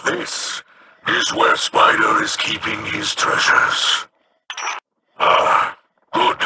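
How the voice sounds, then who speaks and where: A gentle, dignified alien elder from a video game, speaks in a lowish voice. The delivery is very slow and formal, with careful pauses, over clearly audible background noise. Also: male voice. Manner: slow, halting, formal speech with deliberate pauses. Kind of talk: scripted game character monologue.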